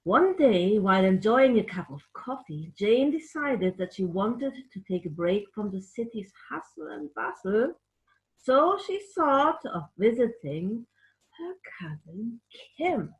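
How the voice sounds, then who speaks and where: A woman tells a picture-book story to children at a slow, unhurried pace. Her delivery is expressive, and she speaks to young listeners directly, as a puppeteer storyteller would. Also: fairly high pitch, poor audio. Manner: expressive, addressing children with questions.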